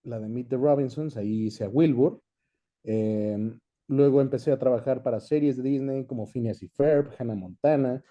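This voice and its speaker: A man's voice: mid-range voice, normal speed, dark tone, highs cut off. A young Mexican man chatting easily as an interview guest, reminiscing about his work in a casual way. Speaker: guest, voice actor.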